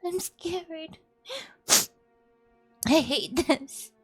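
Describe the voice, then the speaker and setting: A woman's voice: high voice, animated, drawn-out words, no echo, faint music behind, pitched above the performer's own voice, heavily acted. A woman voicing a scared, timid young daughter in a visual novel, small and hesitant in her speech.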